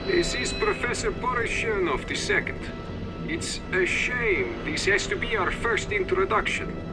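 An actor playing a menacing professor in pursuit, speaking with formal, measured courtesy in an audio drama. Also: male voice, sits high, loud music behind the voice. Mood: courteously menacing. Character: smooth, menacing male professor leading a pursuit. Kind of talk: audio drama villain acting.

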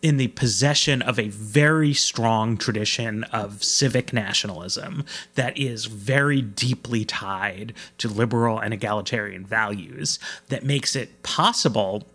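A man's voice: on the low side, measured pace, pushed and bright. An American man speaking as an author and guest in a conversational podcast interview, discursive and emphatic. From United States. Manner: discursive, emphatic, often checks in with "right?".

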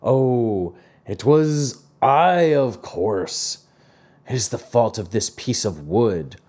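A man's voice: medium pitch, lively intonation, slow pace, darkish tone. A man voicing a dapper, hot-tempered little old man in a storybook, easily offended and emphatic. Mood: indignant. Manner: indignant, emphatic, swooping.

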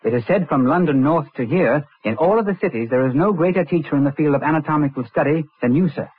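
Earnest young student, rather high. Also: male voice, fast talker, breathy edge. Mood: deferential. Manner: polite, hesitant but insistent. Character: earnest, respectful young male medical student. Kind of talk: old radio drama acting. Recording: tinny, band-limited vintage radio recording.

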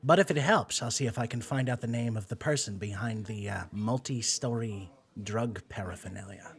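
A man voicing an articulate adjunct professor with a dry, measured manner, in an audio drama. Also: lowish voice, creaky. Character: wry, genteel adjunct professor.

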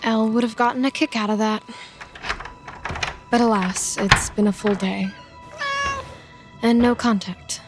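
An actress playing an awkward, sardonic young office programmer in an audio drama, hesitant and dryly sarcastic. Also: female voice, on the high side, fairly even intonation, a little slow, pauses often, over music. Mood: wry, lonely. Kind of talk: audio drama dialogue and narration.

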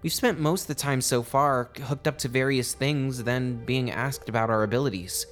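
A man narrates in a conversational, first-person journal style. His voice is medium in pitch, with faint music underneath. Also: moderate pace, fairly bright tone. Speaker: audio drama performer and show presenter.

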